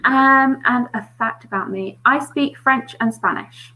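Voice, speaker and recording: Bright-voiced British woman, casual chat. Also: a little high, unhurried, poor audio. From Britain. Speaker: book YouTuber, panel guest.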